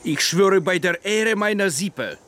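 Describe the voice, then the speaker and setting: A man's voice: high-pitched, expressive, unhurried, a bit bright. An actor playing an eager, reckless teenage boy from the Caucasus with a fervent manner in a radio drama.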